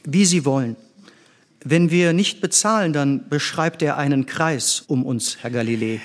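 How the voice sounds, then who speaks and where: Man acting as curious schoolboy, higher male pitch, inquisitive, plain-spoken, theatrical drama. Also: measured pace, close to an everyday voice. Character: Andrea, curious young boy, housekeeper's son and pupil. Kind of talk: theatrical scripted performance.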